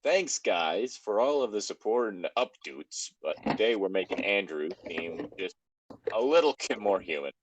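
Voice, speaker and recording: Casting: male co-host, low-pitched and highly animated, reading online stories with casual banter. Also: moderate pace, no echo, highs cut off.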